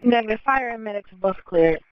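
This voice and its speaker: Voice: mid-range voice, animated, brisk, no room sound, lo-fi sound. A police dispatcher talking in clipped, procedural radio language, heard through a police scanner.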